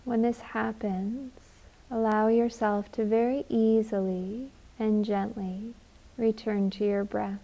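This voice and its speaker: A woman leading a guided meditation in a gentle, soothing manner, leaving long unhurried pauses. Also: a little high, flat delivery, muffled recording.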